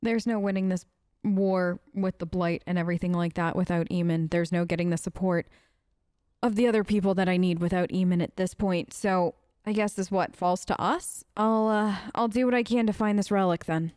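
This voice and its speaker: A woman's voice: lowish voice, steady tone, normal speed, darkish tone, clear, resonant tone, no echo, studio quality. A woman hosting a let's play, improvising role-play dialogue and commentary in an informal, conversational way.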